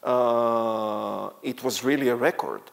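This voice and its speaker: Israeli man in his 60s, guest speaker at a church, unhurried delivery, lots of "uh" and "um", chuckles between phrases. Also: medium pitch, fairly even intonation, vocal fry. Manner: halting, many fillers, frequent light laughs. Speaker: guest speaker, tour guide. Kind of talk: church talk to a congregation.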